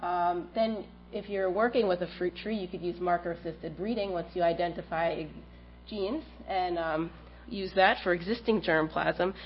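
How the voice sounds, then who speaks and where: A woman's voice: on the low side, steady tone, normal speed. A woman in her thirties with a cold giving an academic research seminar, explaining her work with frequent ums. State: has a cold, slightly stuffy. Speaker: plant scientist giving a research talk. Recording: dull lo-fi lecture audio with faint hum.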